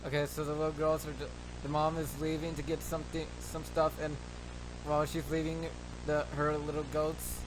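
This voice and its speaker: A man with a slightly high-pitched voice hosts a reaction video. He comments loosely and informally, dropping in short exclamations, over faint background noise. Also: completely flat delivery, normal speed. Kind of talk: casual live reaction commentary.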